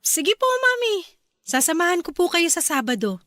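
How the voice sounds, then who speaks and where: An actress playing a young pregnant wife who speaks politely and gently to her mother-in-law in a radio drama. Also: very high voice, sing-song, moderate pace, loud music behind the voice. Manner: polite, deferential, soft-spoken.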